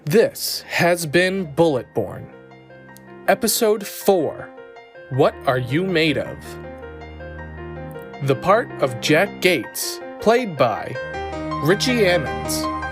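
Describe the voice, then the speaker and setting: A man reading the closing credits of an audio drama in a measured tone. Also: fairly high pitch, big pitch swings, faint music behind. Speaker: credits announcer. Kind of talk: scripted outro.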